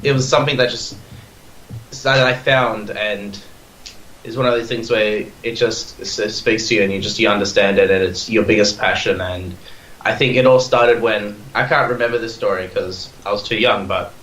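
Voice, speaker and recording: A man's voice: on the low side, steady tone, moderate pace, fairly bright tone, a little hiss or hum. Eager young Australian man in an echoey room. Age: 20s. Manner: eager, rambling, many fillers and restarts. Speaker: podcast guest. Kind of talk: casual chat.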